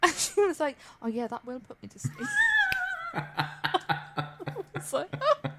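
A woman's voice: sits high, wildly swooping pitch, a little slow, very noisy. A British woman co-hosting a casual podcast, chatty and self-deprecating, laughing often. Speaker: podcast co-host.

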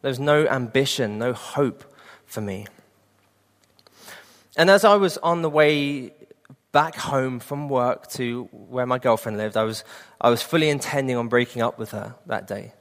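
A man's voice: mid-range voice, unhurried, a bit bright, in a large hall. A man in his late twenties preaching a sermon and sharing an earnest personal testimony. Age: 20s.

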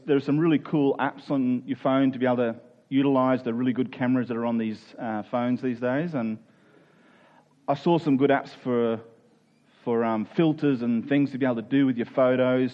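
A man with a slightly high-set voice delivers a sermon in an informal, conversational style. He speaks as a preacher who explains things plainly to his congregation. The recording is somewhat dull and lo-fi. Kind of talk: conversational sermon with everyday illustrations, prayer and scripture reading.

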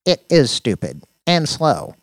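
Man playing a sardonic, lively nerdy tech deputy. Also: fairly high pitch, slow pace, dry recording, pitched above the performer's own voice, heavily acted. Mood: apologetic, tender. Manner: sarcastic, precise, exasperated.

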